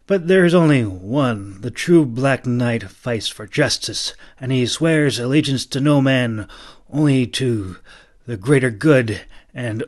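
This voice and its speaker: A man voicing an earnest, excitable young stable boy in a fantasy audiobook, urgent and stammering. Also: mid-range voice, slow, close to an everyday voice.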